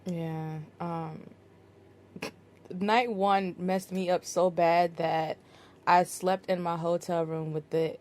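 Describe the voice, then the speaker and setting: Woman, podcast host, casual relaxed chat, lowish voice, frequent fillers. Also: slow. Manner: relaxed, many fillers, laughs easily.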